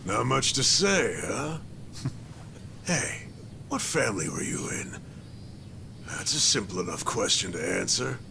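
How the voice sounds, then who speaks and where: A man's voice: medium pitch, very animated, unhurried, vocal fry, long pauses, noisy background. An actor playing a cruel male prison bully who sneers, taunts and swears, in a video game dub. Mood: threatening.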